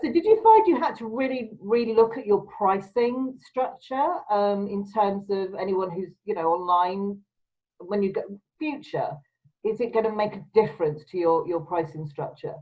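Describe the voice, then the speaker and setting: A woman, hosting a casual video-call chat, emphatic and quick to laugh. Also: mid-range voice, narrow, tinny audio. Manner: chatty, laughs often, emphatic. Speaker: podcast host.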